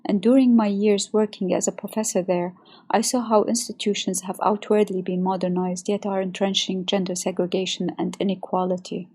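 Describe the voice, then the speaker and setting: Woman from Saudi Arabia, researcher, scripted personal narration, soft dark tone with some huskiness. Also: mid-range voice, level tone, fairly quick, breathy edge. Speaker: researcher and women's rights activist.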